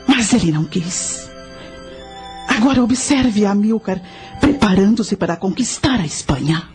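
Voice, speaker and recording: A solemn high priestess of ancient Carthage, played by a woman for a radio drama. She recounts events in grave, formal phrases at a middle pitch, with music underneath. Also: expressive, unhurried, a little mellow, natural voice. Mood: grave narrating.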